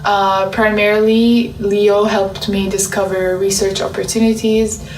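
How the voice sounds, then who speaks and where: A young woman gives a student testimonial with very little pitch movement and noticeable vocal fry. Her voice is recorded in an echoing room, a little away from the mic. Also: little pitch movement, measured pace. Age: young adult. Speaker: university student. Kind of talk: personal testimonial. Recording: roomy, distant from the mic.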